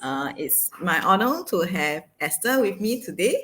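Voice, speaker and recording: A woman from Singapore with a Singaporean English accent, hosting a casual interview in an animated way with lots of fillers. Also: on the low side, unhurried. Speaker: host. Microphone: video call.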